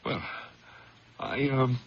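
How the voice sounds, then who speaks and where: An actor playing a troubled, secretive district attorney in a radio drama, hesitant, weary and guarded. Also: male voice, mid-range voice, animated, drawn-out words, breathy edge, full character voice. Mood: weary, evasive. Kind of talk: 1970s radio drama acting. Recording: muffled old radio recording, faint noise.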